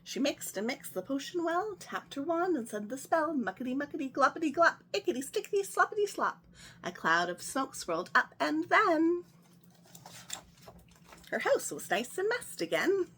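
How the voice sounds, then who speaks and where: A woman hosting a children's story time, reading a picture book aloud in a gentle, sing-song way. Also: high-pitched, sing-song, normal speed.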